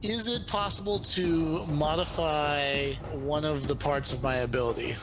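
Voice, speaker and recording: A man's voice: on the high side, unhurried. A man chatting casually as a player in a tabletop game. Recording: background room noise.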